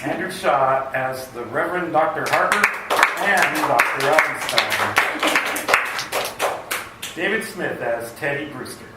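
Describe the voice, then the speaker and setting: A man's voice: on the high side, expressive, measured pace, pauses often, a loud crowd. A man announcing credits in old-time radio style, recorded in a large echoey room. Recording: echoey stage, distant, loud hiss.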